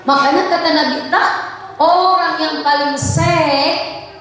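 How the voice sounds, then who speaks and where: A woman from West Java with a Sundanese accent, preaching a lively sermon and playfully quizzing her audience. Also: high-pitched, slow pace. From West Java, Indonesia. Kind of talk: lively sermon with Arabic chanting. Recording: echoey hall, distant mic, crowd.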